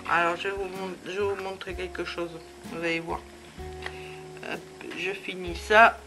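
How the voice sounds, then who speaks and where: A woman's voice: medium pitch, fairly bright tone, rough recording. A woman with a cold hosting a casual crafting tutorial at home, chatty and full of hesitations. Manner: chatty, many hesitations and fillers. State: has a head cold.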